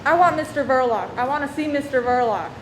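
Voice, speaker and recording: Lady acting troubled curt wife in radio drama, slightly high voice, roomy noisy recording. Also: brisk, close to an everyday voice. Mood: anxious. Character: grave, troubled married woman, curt and withdrawn. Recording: echoey room with clear background noise.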